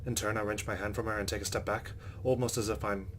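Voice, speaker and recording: A man's voice: on the low side, level tone, fast, fairly bright tone, a little hiss or hum. A man hosting a let's play, reading visual novel narration aloud with his own reactions, over game ambience.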